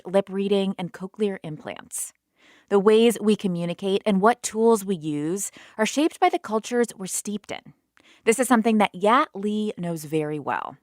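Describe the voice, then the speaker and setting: A woman hosting a radio program, narrating and asking her guest measured, guiding questions. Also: medium pitch, no room sound, studio quality. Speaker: podcast host. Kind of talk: narration and interviewing.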